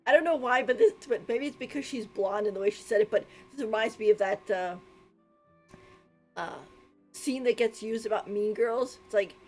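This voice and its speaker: A woman streaming a game with casual live reactions, chatty and giggly, with a husky voice. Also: a little high, moderate pace, darkish tone, soft background music.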